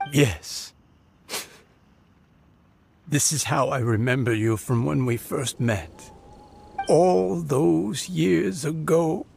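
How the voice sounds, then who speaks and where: A man playing a kindly, sentimental elderly grandfather in a video game, halting and heartfelt. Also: on the high side, gravelly, slightly rough, full character voice. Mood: moved, nostalgic. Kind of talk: emotional game cutscene dialogue.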